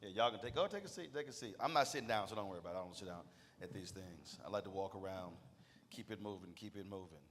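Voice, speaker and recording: A man's voice: sits low, fast talker, fairly bright tone, slight background noise. A man from Texas, moderating a stage panel in a loose, teasing Southern manner. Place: stage in a large hall. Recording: reverberant hall sound.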